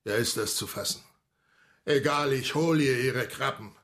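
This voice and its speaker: An actor playing a gruff, blustering but grudgingly kind policeman in a radio drama, coarse and sarcastic in manner. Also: medium pitch, unhurried, a bit bright, close to an everyday voice. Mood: grumbling, exasperated.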